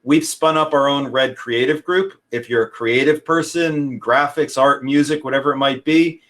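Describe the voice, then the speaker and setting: A man's voice: mid-range voice, steady tone, normal speed, a bit bright. A man hosting a conference over a video call, speaking earnestly and invitingly and often repeating words as he goes. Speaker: conference host. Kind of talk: casual conference talk.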